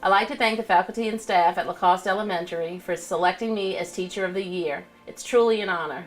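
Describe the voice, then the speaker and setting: A woman's voice: fairly low pitch, fast talker, music in the background, low-quality recording. A woman elementary teacher speaking sincerely and plainly in interview answers.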